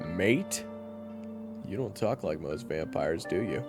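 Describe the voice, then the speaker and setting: A man's voice: sits low, animated, slow pace, music in the background, voice pushed down. A man playing a wary, sardonic gunslinger who talks in a bold, casual, challenging way in an audio roleplay. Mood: wry, probing. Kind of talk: audio roleplay drama acting.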